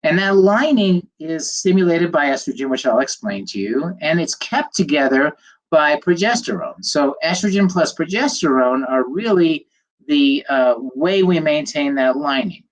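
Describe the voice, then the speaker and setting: A man's voice: on the high side, animated, normal speed, rough recording. An older man, a doctor, slightly nasal from a cold, giving a chatty livestream lecture, rambling with fillers and greeting viewers by name.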